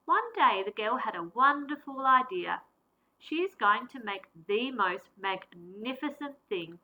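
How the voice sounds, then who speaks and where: A woman primary school teacher reading a picture book aloud to children, with expressive, deliberate storytelling and varied emphasis. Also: on the high side, poor audio.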